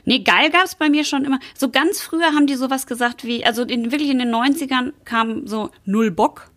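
A woman's voice: sits high, fairly quick, fairly bright tone. A middle-aged woman hosting a podcast in casual chat, playful and now and then slipping into a mock rapper tone. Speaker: podcast host.

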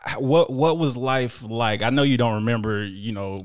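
A man's voice: mid-range voice, moderate pace, smooth, dry recording, clean studio sound. A man hosting a podcast, asking questions in a laid-back, slangy manner. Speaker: podcast host. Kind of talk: casual interview.

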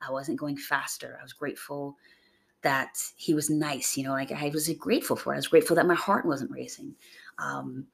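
A young adult woman hosting a podcast, raspy from a chest cold, talking in a chatty, upbeat, rambling way. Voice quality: gravelly, low voice. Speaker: podcast host. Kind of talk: casual motivational monologue.

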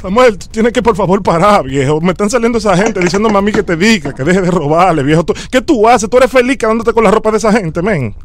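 Male prank caller, Dominican accent, high voice, dramatic, persistent, imploring delivery. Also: expressive, fast talker, hardly any pauses. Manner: insistent, pleading, dramatic. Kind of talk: phone prank, improvised pleading conversation.

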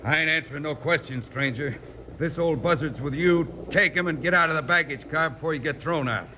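An actor playing a surly, threatening Old West outlaw with sneering, slangy tough talk in a radio western. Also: male voice, a little high, brisk, sharp tone. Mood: menacing. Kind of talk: 1950s radio western acting. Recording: noisy old-time radio recording.

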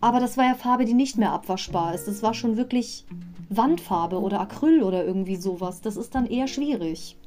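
A woman's voice: mid-range voice, lively intonation, a little fast, warm tone, faint music behind. A woman hosting a fan podcast, retelling a children's audio story in a chatty, digressive way with personal asides. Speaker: podcast host.

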